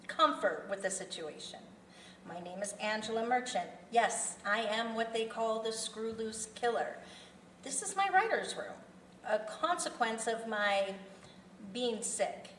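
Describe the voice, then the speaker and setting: A formal, evasive female serial killer runs her meeting like a business as acted by a woman at a script table read. Her delivery is halting and businesslike. The sound comes from across an echoey room with faint noise. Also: mid-range voice, a bit bright, far from the mic, natural voice. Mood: composed, formal. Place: indoor festival venue table read.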